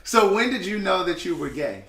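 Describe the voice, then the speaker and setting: A man hosting a casual interview, relaxed and affirming, with many short okays. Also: high-pitched, normal speed. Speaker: host. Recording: echoey room with faint noise.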